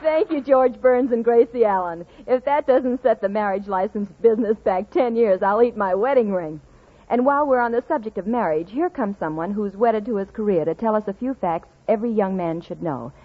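A woman's voice: medium pitch, fairly quick. Wisecracking woman radio host. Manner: dry, wisecracking. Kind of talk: 1940s radio variety show. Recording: lo-fi old radio broadcast.

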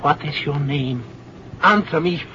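An actor playing a paranoid, tyrannical German dictator in an old-time radio drama, with a German accent and a barking, clipped, imperious manner. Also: male voice, on the high side, a little slow, pushed and bright. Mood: furious, threatening. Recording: dull, noisy old radio recording.

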